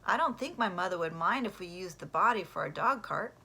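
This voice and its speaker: A woman voicing an eager, excitable young boy from a children's storybook, exclaiming with enthusiasm. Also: on the low side, brisk, breathy edge, exaggerated voice. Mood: enthusiastic.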